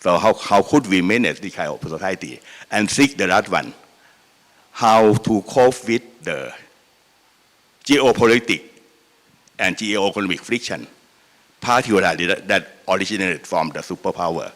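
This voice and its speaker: A man with a Thai accent giving an extemporaneous conference speech, halting and repeating himself as he stresses his points. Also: low voice, animated, a bit bright. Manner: halting and repetitive, with emphatic enumerated points. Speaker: panel speaker. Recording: echoey hall with long reverb.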